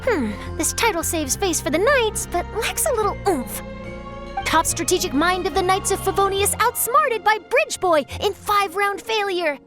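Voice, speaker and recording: A woman playing an eager young female newspaper reporter in a video game, perky, earnest and articulate. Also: very high voice. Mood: musing, dramatic.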